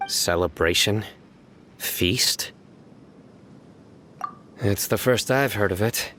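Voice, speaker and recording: An actor playing a stern, reserved young law officer in a video game, speaking in a serious, measured, deadpan way. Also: male voice, fairly low pitch, slight background noise. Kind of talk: video game dialogue acting.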